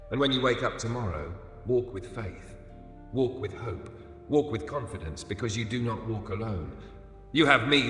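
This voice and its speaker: A man narrates a devotional message with a low, bright, forceful voice. He is solemn yet soothing, laying out short deliberate phrases as if God were speaking. Music plays behind him. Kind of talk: scripted inspirational religious narration. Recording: echoey, long reverb over music.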